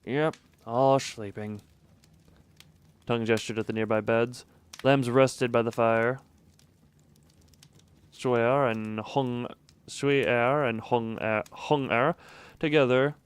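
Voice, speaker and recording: A man's voice: medium pitch, steady tone, takes it slowly, long pauses, clear, resonant tone, no room sound. A man streaming a visual novel, reading the narration aloud and breaking off for casual asides and small laughs. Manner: reads narration evenly, breaks off for chuckling asides.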